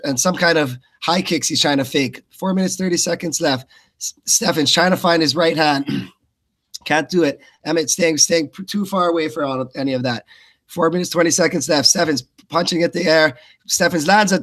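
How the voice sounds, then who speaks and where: A man's voice: a little high, a bit bright. A man doing live blow-by-blow fight commentary in short, clipped phrases.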